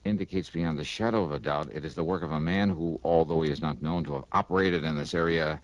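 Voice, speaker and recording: An American actor playing a hard-boiled, loyal, hot-headed investigator in a vintage radio detective drama, with an emphatic, stubborn, clipped delivery. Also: male voice, rumbling low, steady tone, fast, no room sound, rough recording. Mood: disbelieving. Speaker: lead actor and narrator of a radio detective serial.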